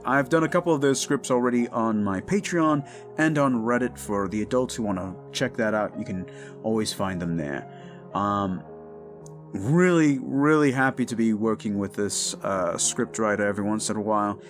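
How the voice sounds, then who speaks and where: Casual male creator chatting over music. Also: on the low side. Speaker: audio roleplay creator and host. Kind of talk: casual outro chat to listeners.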